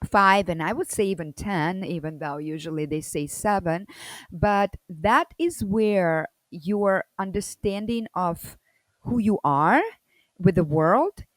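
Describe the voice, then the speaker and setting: A woman from the former Soviet Union, telling her personal story as a guest in a loud, energetic and expressive way. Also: on the low side, takes it slowly, no echo.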